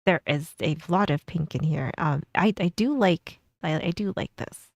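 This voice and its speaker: A woman's voice: low voice, moderate pace, creaky, no echo. A woman streamer chatting casually while reacting to a video, often restarting and trailing off her words.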